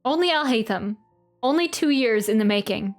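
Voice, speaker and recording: Female game streamer, fairly high voice, casual off-the-cuff reactions over faint game music. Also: lively intonation, moderate pace. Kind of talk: casual gameplay reaction and commentary.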